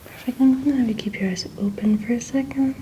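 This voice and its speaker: A woman giving gentle, slow ASMR instructions as a makeup artist, speaking in a soft, breathy whisper. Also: a little high, fairly even intonation, slight background noise, rough recording.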